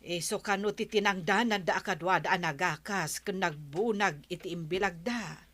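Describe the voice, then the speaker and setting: A woman's voice: lowish voice, normal speed, a bit bright. A woman acting a grumbling Filipino village wife. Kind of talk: Ilocano radio comedy drama acting.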